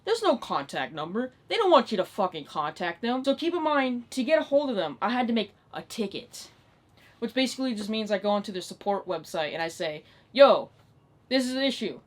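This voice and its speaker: A woman's voice: on the high side, very animated, fairly quick. A nineteen-year-old woman ranting in a casual, unscripted vlog style, exasperated and emphatic, with plenty of swearing. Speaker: online video creator.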